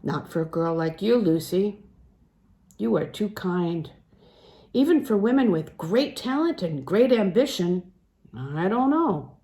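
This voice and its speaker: A woman's voice: medium pitch, big pitch swings, unhurried, dark tone. A woman reading as a grave older music teacher. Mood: grave advice. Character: older male German music teacher, grave and kindly advising.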